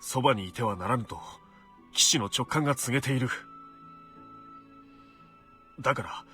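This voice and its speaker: A man voices a loyal, troubled knight in a fantasy visual novel. He speaks formally and haltingly in a medium-pitched voice, with faint music underneath. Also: pushed and bright, natural voice. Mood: troubled, earnest. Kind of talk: Japanese visual novel voice acting.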